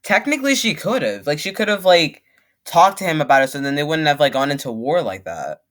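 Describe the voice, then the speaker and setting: Animated young man reacting to a video. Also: fairly high pitch, fairly quick. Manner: rambling, slangy, repeats himself. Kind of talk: casual live reaction commentary.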